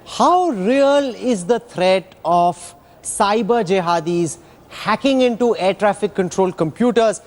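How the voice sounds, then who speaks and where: High-pitched Indian male news anchor. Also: expressive, takes it slowly. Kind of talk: broadcast news interviewing.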